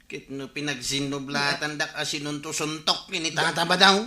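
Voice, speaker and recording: A man's voice: fairly high pitch, little pitch movement, moderate pace, pushed and bright. A man playing an aggrieved comic villager, whining and wailing in an Ilocano comedy radio drama. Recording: echoey room sound.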